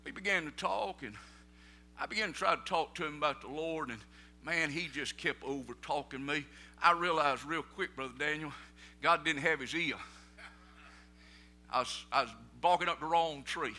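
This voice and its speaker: A middle-aged man with a Southern American accent preaching a sermon, telling folksy stories in plain vernacular and building up to loud preaching peaks. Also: fairly high pitch, moderate pace, a little hiss or hum. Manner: folksy storytelling, rising to shouted preaching peaks.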